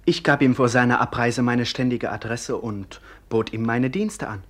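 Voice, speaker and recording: A man's voice: mid-range voice, moderate pace, fairly bright tone, close to an everyday voice. A male actor in a German radio play, playing a cheeky but polite teenage messenger boy on the defensive.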